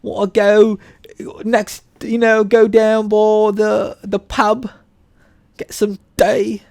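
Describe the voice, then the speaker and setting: A flirty British gent, put on by a man joking around, pitched well above an everyday voice. The words are stretched out slowly in exaggerated wooing. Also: flat delivery, vocal fry, a little mellow, no echo, studio quality, heavily acted. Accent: mock British. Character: flirting British man asking a woman to tea.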